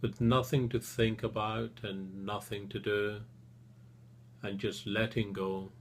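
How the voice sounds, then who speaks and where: A man leading a guided relaxation session as a therapist, speaking soothingly and gently in short phrases with long pauses. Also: fairly low pitch, level tone, a little hiss or hum.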